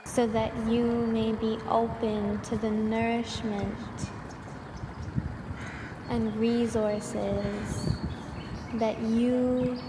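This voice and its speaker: A woman with a fairly high voice leads a soothing guided meditation in an even, barely varying tone. She speaks extremely slowly, leaving long pauses between affirmations. The recording is noisy and washed in long reverb.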